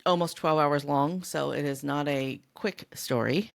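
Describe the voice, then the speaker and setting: A woman's voice: low-pitched, unhurried, creaky. A woman speaking as a podcast co-host, discussing books in casual conversation.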